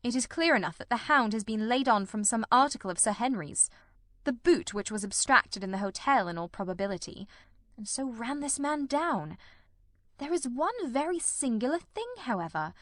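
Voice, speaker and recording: A performer voicing a shrewd, grimly determined male detective in a radio mystery, speaking formally and deliberately. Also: very high-pitched, animated, sharp tone, dry recording, clean studio sound, exaggerated voice. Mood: analytical. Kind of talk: old-time radio mystery drama.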